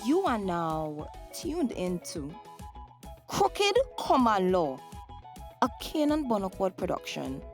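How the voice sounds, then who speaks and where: Animated woman announcer over soft music. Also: mid-range voice, takes it slowly. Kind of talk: scripted programme announcement.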